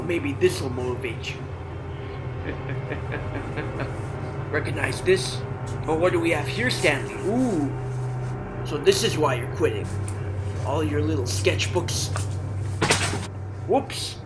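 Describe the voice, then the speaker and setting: A man's voice: on the high side, rough recording. A man voicing a cruel middle-aged boss who mocks and bullies his worker, sneering and cackling, in a comedy sketch. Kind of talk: comedy voice acting.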